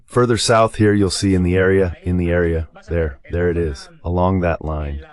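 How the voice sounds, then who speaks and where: A man's voice: low voice, fairly even intonation, moderate pace, darkish tone. A man's synthetic dubbed voice giving explanatory commentary over a map, as a guest analyst, often repeating phrases. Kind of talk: live map-based war commentary.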